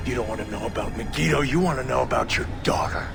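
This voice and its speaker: An actor playing a smug, ruthless rogue agency boss who taunts with drawn-out emphasis in a dramatic video game. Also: male voice, mid-range voice, gravelly, over music. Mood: smug, menacing.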